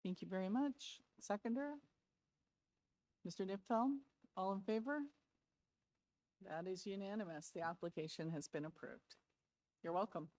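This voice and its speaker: A woman chairing a public planning hearing, brisk and procedural, losing her voice. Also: medium pitch, darkish tone, no room sound, rough recording. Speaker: committee chair.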